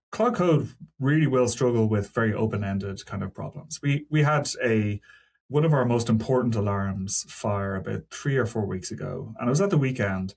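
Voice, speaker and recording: A man's voice: on the low side, vocal fry, darkish tone, breathy edge. A man speaking as a podcast guest and senior engineer, explaining technical work in an informal, discursive way with frequent asides. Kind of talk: casual technical interview talk.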